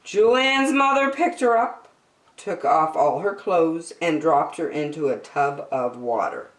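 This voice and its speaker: A woman reading a picture book aloud to children, expressive and deliberate in her emphasis. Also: sits low, recorded from a distance. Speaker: children's story-time reader. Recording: echoey, roomy sound.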